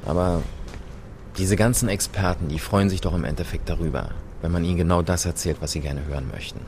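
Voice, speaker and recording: Radio satire: male actor as unrepentant criminal, deep, colloquial and rambling, noisy location tape. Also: brisk, a little mellow. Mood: cynical, defiant. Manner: colloquial, rambling, shrugging, full of filler words. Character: cynical male repeat violent criminal, plain-spoken, unrepentant. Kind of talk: satirical radio play, mock interview clip.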